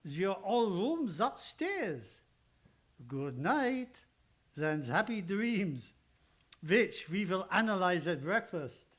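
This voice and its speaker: A man's voice: sits high, sing-song, slow, fairly bright tone, heavily acted. An old male psychoanalyst, acted by a man for an old-time radio play. He is kindly but grumbling, takes his time over each phrase and drops small words in broken English. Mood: kindly, pleased. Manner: broken English with dropped articles.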